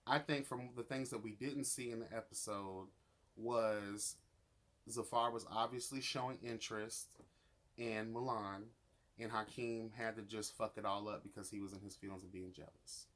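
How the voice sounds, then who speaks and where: A man's voice: lowish voice, steady tone, normal speed, a bit bright. Sassy, emphatic man giving a profane rant. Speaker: YouTube reviewer of a reality show. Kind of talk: opinionated review monologue, casual and profane.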